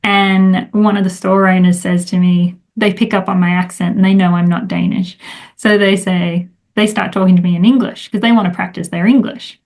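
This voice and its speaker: A woman's voice: lowish voice, fairly even intonation, moderate pace, darkish tone. A middle-aged woman, a time management coach, chatting casually as an interview guest, rambling with many fillers.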